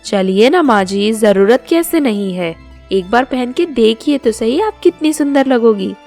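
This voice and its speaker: A woman's voice: on the high side, animated, measured pace, a little mellow, soft background music. A woman voicing a young modern city daughter-in-law, polite, sweet and eager, in storybook dialogue. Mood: coaxing.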